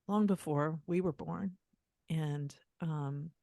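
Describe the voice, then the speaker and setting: Low slow-spoken woman host. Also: vocal fry, dry recording, studio quality. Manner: gentle, emotional, tearful at times. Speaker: podcast host. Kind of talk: casual interview talk.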